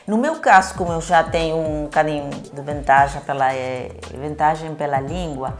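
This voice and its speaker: A low-voiced Peruvian woman speaks Portuguese with a Spanish accent. She explains her life as a missionary in a conversational interview style, correcting herself as she goes. Music plays behind her voice. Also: moderate pace. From Peru.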